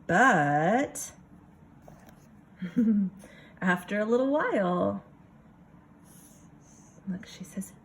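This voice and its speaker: A woman reading a picture book aloud like a kindergarten teacher, expressive, pausing for casual asides to the children. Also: medium pitch, slight background noise.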